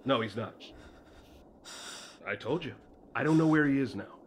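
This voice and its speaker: A man playing a secretive, tense, placating man with a creaky voice in an audio drama, speaking as if on a phone call. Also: medium pitch, moderate pace, a little hiss or hum, natural voice.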